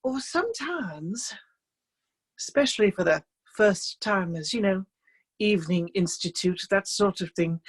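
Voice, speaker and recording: An actress performing a monologue as a chatty, dryly amused life-drawing model who confides in the listener with hesitant, conversational asides. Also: female voice, fairly high pitch, slightly rough, heavily acted. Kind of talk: theatrical monologue in a staged reading. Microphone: video call.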